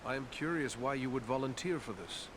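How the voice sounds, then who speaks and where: Guy doing earnest ranger hero for a fantasy game, formal n polite, medium pitch, noisy background. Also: fairly quick, close to an everyday voice. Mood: polite curiosity. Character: male human ranger of the North, earnest, courteous. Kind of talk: fantasy video game character acting. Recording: clear background ambient noise.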